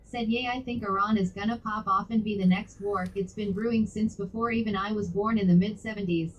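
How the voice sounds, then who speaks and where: Female text-to-speech voice, chat reader, flat synthetic delivery, mid pitch, a bit breathy. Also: a little fast, muffled recording. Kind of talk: reading viewer chat messages aloud.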